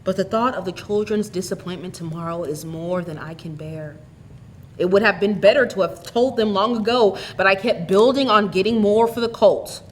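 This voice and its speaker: A woman's voice: medium pitch, moderate pace, a little hiss or hum, natural voice. A woman voicing a weary, plucky, hard-working widowed aunt in a storybook, speaking brokenly. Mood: resigned.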